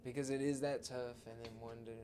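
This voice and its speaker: A man's voice: medium pitch, little pitch movement, fast talker, crowd noise. A man co-hosting a podcast, chatting casually with plenty of slang and occasional swearing. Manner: slangy, profane. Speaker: podcast co-host.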